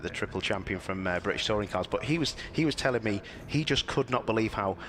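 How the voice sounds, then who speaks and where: Lowish-voiced man from near Liverpool with a Liverpool accent; chatty, self-deprecating motorsport presenter. Faint background noise. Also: expressive, fast talker, a bit bright. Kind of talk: casual live commentary chat.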